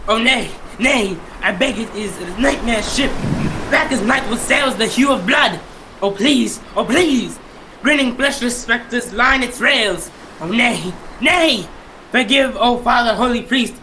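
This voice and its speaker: A man's voice: very high voice, a little slow, noisy background. A young man playing a proud, blaspheming sea captain in theatrical old-time radio drama style, with archaic, declamatory speech. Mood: terrified pleading. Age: young adult. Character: defiant blaspheming sea captain, proud, raging, later pleading.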